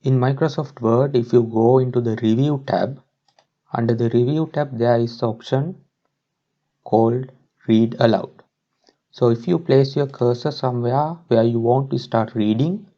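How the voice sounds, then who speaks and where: A man narrates an instructional screen recording at a medium pitch. His voice is soft-edged and dark with a gravelly fry, and the recording sounds dull and lo-fi. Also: fairly even intonation, measured pace. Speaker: tutorial presenter.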